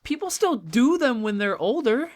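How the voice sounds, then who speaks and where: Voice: mid-range voice, sing-song, moderate pace, close to an everyday voice. An actor playing an earnest, easily flustered young friend in a naturalistic audio drama. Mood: chatty. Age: young adult. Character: earnest, defensive young friend in a strange town.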